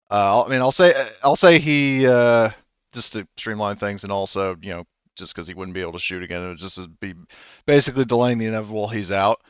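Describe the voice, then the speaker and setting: A man running a tabletop game as game master, talking haltingly with many fillers. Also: fairly low pitch, fairly bright tone, smooth, dry recording, muffled recording.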